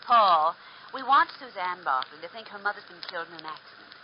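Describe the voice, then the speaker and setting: An actress playing a brisk, capable secretary in a 1940s radio drama, matter-of-fact. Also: female voice, on the low side, lively intonation, lightly acted. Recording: dull, noisy old radio recording.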